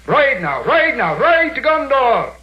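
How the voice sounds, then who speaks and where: A man's voice: extremely high pitch, very animated, takes it slowly, lo-fi sound. A man giving a dramatic, declamatory reading of heroic literary prose on an archive recording. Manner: declamatory, theatrical.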